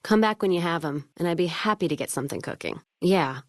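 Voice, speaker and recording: A woman's voice: fairly low pitch, expressive, fast talker, no room sound, clean studio sound. A woman voicing a hard-drinking, world-weary caravan trader in a video game, speaking bluntly with wry, folksy phrasing. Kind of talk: video game voice acting, scripted dialogue.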